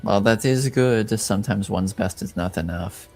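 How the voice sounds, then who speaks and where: A man playing a courteous but stern duke in a tabletop game, speaking in formal, measured phrases. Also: fairly low pitch, darkish tone, faint music behind. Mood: cool courtesy.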